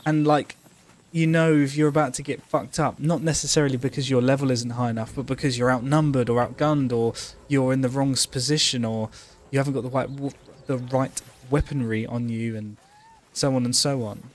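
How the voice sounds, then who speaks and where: A man's voice: mid-range voice, fairly even intonation, a little fast, slight background noise. A man co-hosting a casual gaming livestream, thinking aloud in a rambling, sweary way. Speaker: game streamer co-host.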